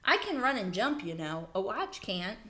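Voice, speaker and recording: A woman's voice: medium pitch, sing-song, normal speed, bright, forceful tone. A woman voicing a bold, impatient little male mouse with an insistent manner in a storybook reading. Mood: demanding. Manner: insistent, indignant, bargaining.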